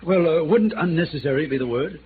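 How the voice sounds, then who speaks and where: An actor playing a frivolous, idle wealthy playboy with an airy, genteel, amused manner in a 1950s radio drama. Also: male voice, fairly high pitch, expressive, fairly quick, close to an everyday voice. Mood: playful, hesitant. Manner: airy, hesitant, chuckling, genteel. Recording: muffled, faintly noisy vintage radio recording.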